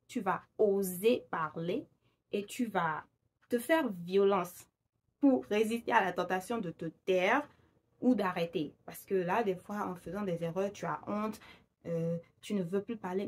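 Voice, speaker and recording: Female vlogger, upbeat direct address, casual tone, occasional English phrases. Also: medium pitch. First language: Fon or Gun. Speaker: language vlogger. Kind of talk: casual direct-to-camera talk.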